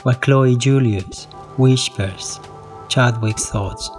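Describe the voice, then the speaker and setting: A man reads a scene-setting introduction deliberately, inviting the listener to imagine. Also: medium pitch, faint music behind. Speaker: podcast introducer.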